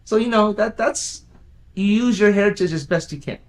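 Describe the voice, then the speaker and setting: A man's voice: high-pitched, normal speed. A man, a film company owner, chatting easily as an interview guest, chuckling often and restarting his sentences with "you know". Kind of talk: casual interview chat.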